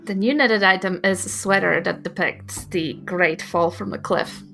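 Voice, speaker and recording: Bright female game player, gushing casual improv, quiet music underneath. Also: medium pitch, normal speed, creaky. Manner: excitable, gushing.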